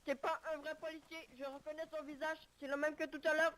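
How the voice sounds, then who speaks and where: High-voiced woman as a crackpot medic for improvised game roleplay, solemnly formal, crusading and earnest. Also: flat delivery, a little fast, fairly bright tone. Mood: earnest, mock-formal. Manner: mock-formal, declarative. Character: deluded, earnest medic crusading against diabetes in vehicles.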